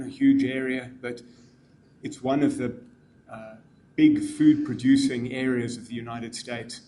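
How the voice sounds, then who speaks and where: A middle-aged man with a South African accent giving measured welcome remarks at a symposium, with frequent ums. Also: mid-range voice, dark tone, slight background noise. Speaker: conference speaker, program manager.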